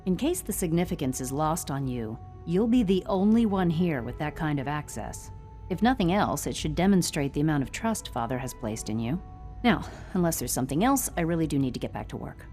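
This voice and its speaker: A woman's voice: low voice, animated, fairly quick, a little mellow, soft background music. A woman playing a tense, work-obsessed scientist in a video game, brusque and formal. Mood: brusque, impatient. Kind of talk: scripted game dialogue.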